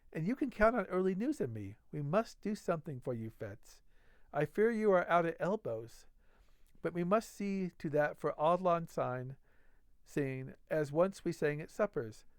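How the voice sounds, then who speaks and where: Man playing a dignified, evasive doctor, hushed. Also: fairly high pitch, expressive, measured pace, no room sound. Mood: startled, placating. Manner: hushed, formal, placating. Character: esteemed, dignified male doctor, flustered and evasive.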